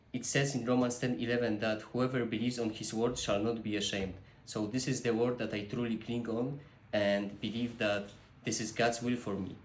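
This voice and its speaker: A young man from Poland with a Polish accent, making an earnest personal appeal to the camera, halting, with many restarts and fillers. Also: on the low side. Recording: echoey room with faint noise.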